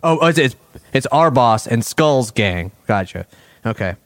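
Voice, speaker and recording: A man's voice: medium pitch, lively intonation, measured pace, fairly bright tone, slight background noise. A man in a recording session asking questions about names in the script. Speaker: voice actor.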